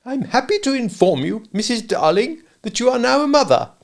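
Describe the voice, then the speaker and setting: A man's voice: very high-pitched, sing-song, brisk, creaky, voice pushed up, exaggerated voice. A man reading a storybook and voicing a young boy who plays at being a pompous doctor in a mock-formal tone.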